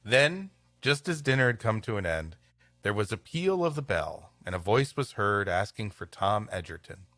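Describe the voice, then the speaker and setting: A man's voice: on the low side, big pitch swings, normal speed, fairly bright tone, no echo. A man hosting a comedy show, narrating an old storybook tale and chatting between passages. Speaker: host and story reader.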